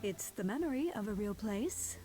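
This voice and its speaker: An actress playing a gracious, amused goddess guide in a video game, genteel and playful. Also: female voice, medium pitch, lively intonation, normal speed, mellow, soft background music, natural voice. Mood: amused welcome.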